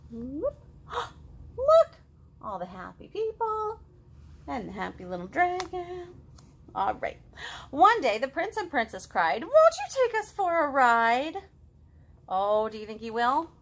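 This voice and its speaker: A woman in her thirties hosting a children's storytime, reading and chatting to young kids in an expressive, sing-song, exaggerated way. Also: high-pitched, a little slow, long pauses. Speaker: children's librarian, storytime host. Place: living room at home, indoors.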